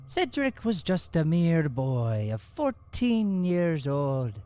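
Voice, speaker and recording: A man narrating an improvised old-time radio play in a dramatic, deliberate storytelling manner. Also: on the high side, big pitch swings, dark tone.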